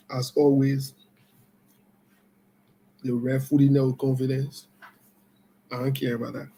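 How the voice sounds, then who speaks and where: A man hosting an online political talk show, giving live commentary and scolding viewers in a blunt, loose conversational way that swings between loud outbursts and low-key remarks. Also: poor audio.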